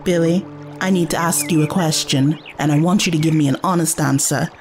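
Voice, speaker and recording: A woman's voice: low voice, brisk, darkish tone, faint music behind. A woman playing a caring, bold young companion to an adventurer, earnest and coaxing, in an audio drama. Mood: gentle, earnest.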